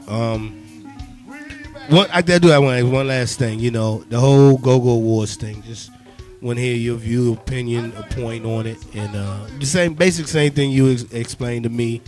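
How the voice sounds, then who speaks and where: Informal male radio host, medium pitch. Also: moderate pace, a little mellow, faint music behind. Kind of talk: casual radio interview.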